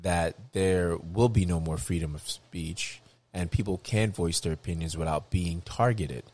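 Man, low voice, podcast co-host, informal slangy talk, bantering. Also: measured pace, a little mellow. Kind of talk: casual chat.

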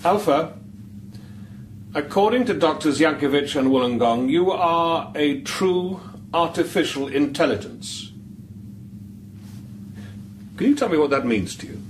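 An actor playing a thoughtful, cautious priest in a radio drama, asking gently probing questions in a hesitant way. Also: male voice, a little high, expressive, unhurried, pauses often, low-quality recording. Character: thoughtful, cautious male priest interviewing an artificial intelligence. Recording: clear background noise.

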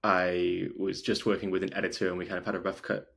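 Male interview guest from North Wales, informal and conversational, husky voice with vocal fry. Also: low voice, little pitch movement, fast talker, fairly bright tone. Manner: informal, frequent "like" as filler. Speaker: podcast guest, video maker and documentary filmmaker. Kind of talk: casual interview chat.

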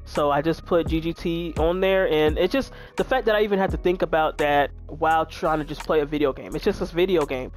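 A woman in her twenties with a deep voice, chatting candidly to her viewers while she plays a video game. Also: brisk, few pauses. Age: 20s. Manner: frank, chatty, talks straight to viewers. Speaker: gaming YouTuber.

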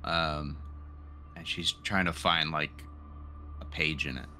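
A man's voice: very deep voice, flat delivery, takes it slowly, bright, forceful tone, slight background noise. A man playing a tabletop game, casually describing his character's actions and searching for words.

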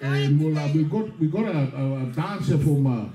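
A man's voice: a little high, moderate pace. A man MCing a wedding reception on a handheld mic, talking loosely with fillers and little laughs. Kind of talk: casual crowd announcing. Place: large hall with a crowd. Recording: echoey hall, taken from far off. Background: crowd chatter.

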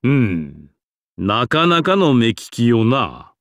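A man's voice: mid-range voice, very animated, slow, fairly bright tone, no echo. A grand old king from a fantasy game. He speaks in a stately, archaic manner, proud yet genial. The sound is dry and clean. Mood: approving, genial. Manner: stately, archaic, self-satisfied. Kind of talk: Japanese game character voice acting.

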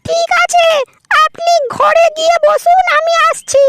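A performer voicing a sassy young wife and daughter-in-law in a comic cartoon, coy and scolding through a squeaky pitch-shifted voice. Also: little pitch movement, a little slow, a bit bright, slight background noise, heavily acted. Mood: coy, exasperated. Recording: music clearly behind the voice.

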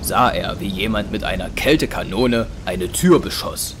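A man's voice: fairly low pitch, animated, moderate pace, fairly bright tone, a little hiss or hum. A man narrating an action audio drama from a script.

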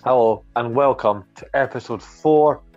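A young man co-hosting a casual podcast over a video call, steady and moderating, keeping the show moving. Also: medium pitch, normal speed, muffled recording, soft background music. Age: young adult. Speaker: podcast co-host. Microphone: laptop webcam on video call.

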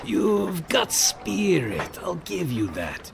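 An actor playing a blunt, scornful, world-wise traveller in an audio drama, sardonic in manner. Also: male voice, sits high, huge pitch swings, takes it slowly, vocal fry, background noise, full character voice. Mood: bargaining. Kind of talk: full-cast audio drama acting.

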